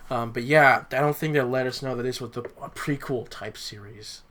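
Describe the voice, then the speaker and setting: A man's voice: medium pitch, moderate pace, a bit bright. A young adult man co-hosting a podcast in casual chat, often repeating words as he works out his thought. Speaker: podcast co-host. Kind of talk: casual chat reviewing audio dramas.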